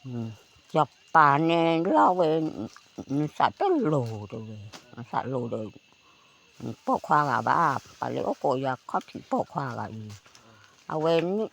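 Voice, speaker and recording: Animated folk storyteller with lively pitch. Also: measured pace, vocal fry. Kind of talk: oral folk-tale storytelling, conversational.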